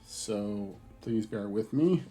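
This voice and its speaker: A man's voice: fairly low pitch, takes it slowly, husky. Stuffy middle-aged man chatting while crafting. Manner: relaxed, chatty, thinking aloud while crafting. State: stuffy with a cold. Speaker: hobby livestream host. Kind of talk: casual chat while building a model. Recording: faint background music.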